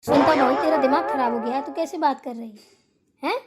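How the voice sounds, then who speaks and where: A woman's voice: sits high, a little fast, over loud music, close to an everyday voice. A woman voicing a domineering older mother-in-law in a family cartoon, scolding and nagging with sarcastic questions. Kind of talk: amateur Hindi family cartoon dialogue.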